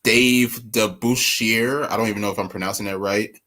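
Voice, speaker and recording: Laid-back young man, slightly low voice. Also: steady tone, normal speed, pushed and bright. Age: 20s. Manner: rambling, informal, laughs often. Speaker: podcast co-host. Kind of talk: casual sports chat.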